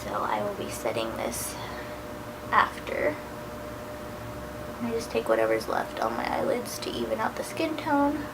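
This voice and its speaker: A woman's voice: fairly high pitch, huge pitch swings, a little slow, gravelly, pauses often, poor audio. Chatty woman in her thirties with a cold. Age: 30s. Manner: casual and chatty, drifting into soft near-whispers. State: has a cold, a little nasal. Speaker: beauty vlogger. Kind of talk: chatty makeup tutorial.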